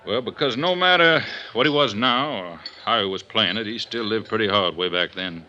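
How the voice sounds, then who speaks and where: An actor playing a gruff, world-weary police inspector in a 1950s radio detective drama, blunt and folksy. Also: male voice, fairly low pitch, expressive, moderate pace, bright, forceful tone. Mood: reasoning, blunt. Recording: dull, noisy vintage 1950s radio recording.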